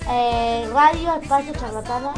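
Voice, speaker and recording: Female community radio host, Argentine accent, high pitch, informal and halting delivery. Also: music in the background. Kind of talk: live community radio hosting, casual.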